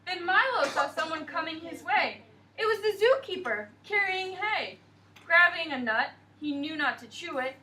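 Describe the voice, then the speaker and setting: High, bright-voiced woman teacher. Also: normal speed, distant mic. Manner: expressive, sing-song storytelling. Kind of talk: classroom read-aloud and questioning. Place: classroom.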